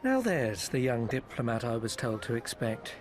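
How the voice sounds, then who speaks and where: An actor playing a smooth-talking, foppish male merchant agent in a game cutscene, speaking in a theatrical, flowery, ingratiating way. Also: mid-range voice, very animated, normal speed, lightly acted. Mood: explaining. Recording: with background music.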